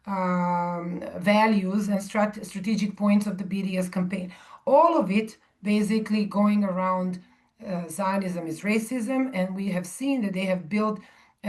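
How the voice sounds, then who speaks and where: Woman, from Serbia, 40s, audience talk, measured, hesitant fillers, middle pitch. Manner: measured, with frequent ums and restarts. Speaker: guest speaker.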